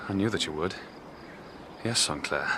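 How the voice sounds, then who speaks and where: An actor playing an earnest, troubled detective burdened by a secret in a radio drama, intense and hesitant. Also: male voice, low voice, moderate pace, background noise, lightly acted. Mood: grave, wistful.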